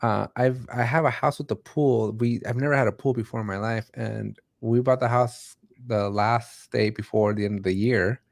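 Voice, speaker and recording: A man speaks as the host of a casual interview. His pitch is average for a man, and he talks in an informal, chatty style. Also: steady tone, moderate pace, gravelly, few pauses.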